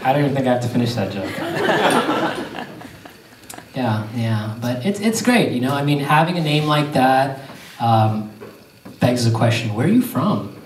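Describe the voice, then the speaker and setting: A man's voice: medium pitch, lively intonation, normal speed, long pauses. A man from Pakistan doing loose, self-mocking stand-up and crowd work on a theater stage. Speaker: stand-up comedian. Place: theater stage before an audience. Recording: echoey theater, distant mic, crowd noise.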